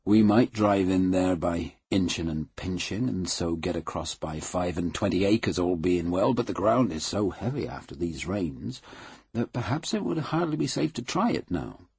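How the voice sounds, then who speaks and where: A man's voice: low voice, expressive, normal speed, vocal fry, darkish tone, slightly rough, dry recording, heavily acted. A man voicing a cautious, deferential rural coachman in a read audiobook, with rustic speech that drops word endings. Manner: rustic, dropped endings, careful.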